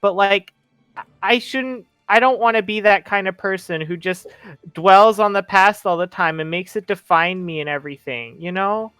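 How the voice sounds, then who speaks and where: A player voicing an earnest, frustrated teenage boy orphan in a tabletop roleplay, speaking haltingly in an everyday voice. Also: mid-range voice, a bit bright, clear, resonant tone, no echo, soft background music, close to an everyday voice. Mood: frustrated, determined.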